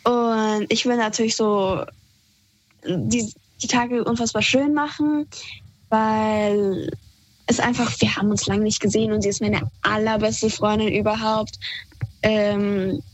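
A woman's voice: medium pitch, steady tone, a little slow, no room sound, rough recording. A young woman from Iran calling in to a radio show, talking casually and hesitantly with fillers and easy laughs. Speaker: caller. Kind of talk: casual phone-in chat.

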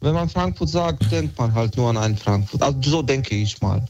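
A caller in his twenties speaks over slightly dull, band-limited audio. He is a man from Bosnia with a Bosnian accent, chatting casually at a medium pitch. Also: expressive, fairly quick, a little mellow, a little hiss or hum. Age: 20s. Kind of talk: casual chat.

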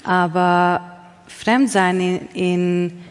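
A woman's voice: lowish voice, level tone, drawn-out words, clear, resonant tone. A woman with a Hungarian accent, a poet answering interview questions in a reflective, searching way, often restarting phrases. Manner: searching, restarts phrases, occasional article slips. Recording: long hall reverb.

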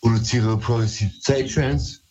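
A man's voice: medium pitch, steady tone, measured pace, a little mellow. A man from Berlin with a Berlin accent, calling a radio show by phone, chatting casually in a hesitant, slightly nervous way. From Berlin, Germany. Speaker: phone-in caller. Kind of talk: casual chat. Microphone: phone call to a radio show. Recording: dull lo-fi phone call.

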